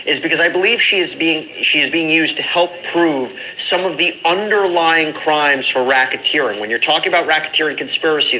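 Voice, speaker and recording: A man with a very bright, sharp, slightly high voice gives legal commentary as host. He explains step by step with emphasis and rhetorical questions. There is faint noise behind him. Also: rough recording.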